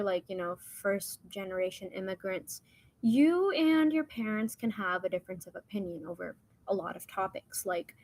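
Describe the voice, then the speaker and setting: A young woman whose first language is Hindi, from India and the United States, telling personal stories in a casual, rambling, informal way as a podcast guest. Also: medium pitch.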